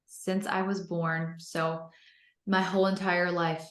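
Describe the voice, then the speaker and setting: A woman's voice: on the low side, level tone, slow. An American woman in her thirties, upbeat and enthusiastic, answering interview questions conversationally, with a roomy, echoey sound. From North Carolina, United States. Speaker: interview guest, business founder.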